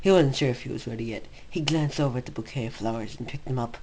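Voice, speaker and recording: A man's voice: mid-range voice, fast talker, low-quality recording. A man reads fan fiction aloud as an amateur narrator. His delivery is dramatic storytelling. There is faint noise on the home recording. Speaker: story reader.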